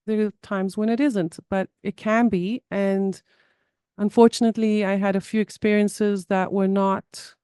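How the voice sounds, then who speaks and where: A woman's voice: mid-range voice, fairly even intonation, a little slow, mellow, clean tone, no echo, clean studio sound. A woman with a South African accent, a therapist speaking earnestly in an interview, often restarting and repeating words. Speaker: guest, somatic therapist. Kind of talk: conversational interview.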